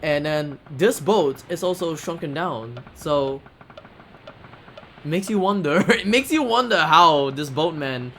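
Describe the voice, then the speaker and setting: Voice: medium pitch, animated, slow, fairly bright tone, a little hiss or hum. A speaker giving casual, off-the-cuff commentary on a video game speedrun. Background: faint video game music.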